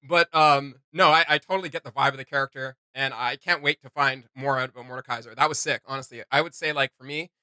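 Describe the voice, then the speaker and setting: A man's voice: mid-range voice, fairly even intonation, fast talker, hardly any pauses, sharp tone, clean tone, no room sound, rough recording. A man hosting a reaction video, giving casual commentary with emphatic delivery.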